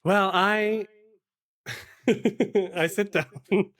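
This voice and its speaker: A man talking casually as an interview guest, explaining his work in halting phrases and often correcting himself. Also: high voice, steady tone, fairly bright tone. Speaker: podcast guest, actual-play game master and producer.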